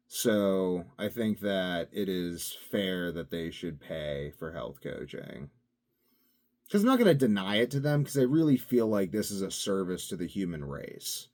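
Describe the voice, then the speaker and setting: A man's voice: low-pitched, big pitch swings, unhurried, darkish tone. A man talking to his own video channel in a casual, rambling monologue, musing and drifting from thought to thought with plenty of fillers. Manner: musing, drifting between topics with frequent fillers. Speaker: vlogger.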